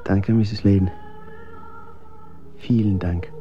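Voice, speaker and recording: Young man, weary, courteous, halting, slow, muffled, music behind, radio drama. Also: lowish voice, steady tone. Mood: weary, grateful. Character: weary, polite young man in endurance contest.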